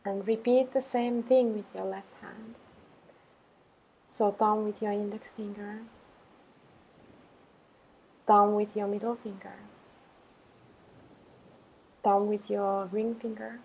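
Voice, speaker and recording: A woman teacher leading a guided meditation in a calm, unhurried manner, giving simple instructions with gentle pauses. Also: mid-range voice, gravelly, breathy edge, low-quality recording.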